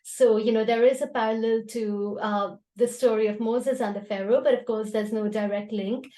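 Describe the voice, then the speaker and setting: Higher-voiced woman, explanatory. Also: fairly even intonation, normal speed, dark tone. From Karachi, Pakistan. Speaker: host and poet at a poetry gathering. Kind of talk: spoken introduction and poetry recital. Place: online video call.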